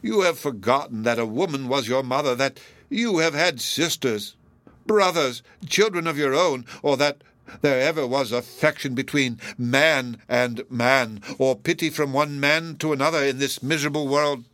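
A man voicing an anguished older gentlewoman in a classic novel audiobook, pleading mournfully and earnestly with drawn-out emphasis. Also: mid-range voice, no echo, voice pushed up, natural voice.

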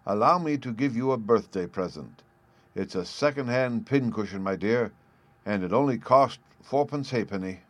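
A man voicing a kindly, absent-minded old male professor who speaks gently and fussily. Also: medium pitch, animated, normal speed, no room sound, lightly acted. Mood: gleeful. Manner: gentle, fussy and polite.

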